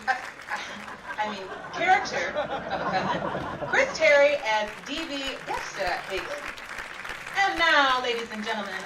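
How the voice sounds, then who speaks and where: A woman announcing a 1940s-style radio show with jokey, theatrical patter. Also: high-pitched. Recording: echoey, distant, noisy live room with crowd.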